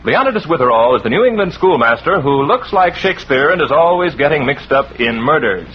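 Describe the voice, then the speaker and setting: A man narrates as a 1940s radio announcer, scripted and very lively in intonation. His voice is fairly high. The vintage recording is muffled, with music underneath. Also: fairly quick. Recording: dull old radio recording.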